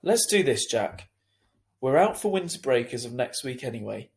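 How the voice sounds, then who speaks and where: A man playing an earnest, lenient school head in a children's story, reasoning in a measured, hesitant way. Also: mid-range voice, sing-song, vocal fry. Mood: kindly.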